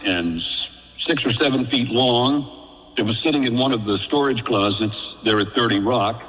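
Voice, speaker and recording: A man's voice: lowish voice, fairly bright tone, in a large hall, low-quality recording. An older man, a radio veteran, reminiscing casually as an interview guest.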